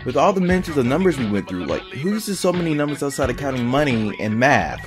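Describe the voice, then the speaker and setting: Man playing a bewildered sidekick, medium pitch. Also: big pitch swings, fast talker, over music, close to an everyday voice. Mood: confused. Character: slow-witted, bewildered male criminal sidekick. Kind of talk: comedy skit.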